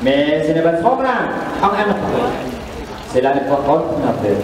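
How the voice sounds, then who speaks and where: Young man as an uneasy patrician, high-voiced, echoey. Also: big pitch swings, moderate pace, a crowd in the background. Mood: disapproving. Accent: Indonesian. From Indonesia. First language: Indonesian. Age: young adult. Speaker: student actor. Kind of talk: amateur dramatic stage reading. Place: indoor performance hall, recorded from a distance. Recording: distant echoey hall, noisy room ambience.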